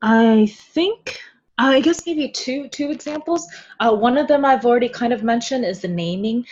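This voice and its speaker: A woman being interviewed about her translation work, animated and expressive, laughing often as she tells stories. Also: on the high side, moderate pace, slightly rough. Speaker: guest translator. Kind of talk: casual interview chat. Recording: slightly dull lo-fi sound.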